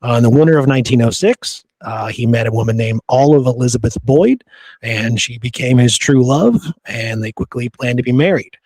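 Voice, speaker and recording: A man's voice: mid-range voice, fairly quick, darkish tone, breathy edge, dry recording, low-quality recording. A man hosting a podcast, talking through history in an informal, conversational way. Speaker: podcast host. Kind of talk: casual history discussion.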